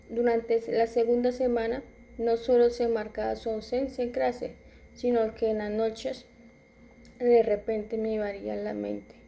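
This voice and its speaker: A woman in her twenties reading a personal story aloud, halting at times and correcting herself as she goes. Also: fairly high pitch, gravelly, mellow. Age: 20s. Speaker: student.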